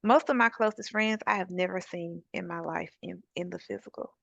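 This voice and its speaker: A woman with a gravelly, creaky edge to her voice speaks as a guest, giving encouraging and practical advice. Her sound is dry and close, but the audio is dull and lo-fi, as from a remote call mic. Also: lowish voice, moderate pace, fairly bright tone, no room sound. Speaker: suicide prevention advocate. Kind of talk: conversational interview guest.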